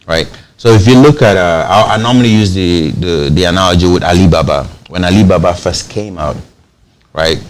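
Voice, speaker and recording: A man's voice: low voice, moderate pace, slight background noise. A man from Cameroon, a company founder giving a TV interview, explaining at length and restarting phrases as he goes. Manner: explains at length, repeats words when starting phrases. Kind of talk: TV interview, conversational.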